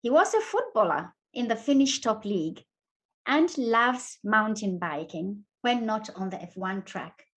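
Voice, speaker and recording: A woman's voice: mid-range voice, very animated, a little slow, a bit bright. A woman hosting a fireside interview series, welcoming her guest with enthusiastic, effusive praise. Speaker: host. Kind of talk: interview chat.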